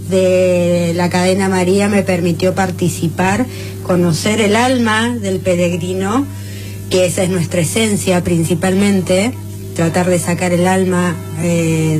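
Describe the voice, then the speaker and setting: A woman from Córdoba co-hosting a radio show, speaking calmly and in a measured way. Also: lowish voice. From Córdoba, Argentina. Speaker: radio co-host. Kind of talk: casual radio chat.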